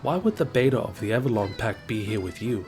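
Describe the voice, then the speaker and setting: A man's voice: fairly low pitch, animated, a little fast, a little mellow, soft background music, natural voice. A man playing a courteous, vigilant gate guard in a fantasy audio drama, speaking formally and respectfully. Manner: formal, deferential.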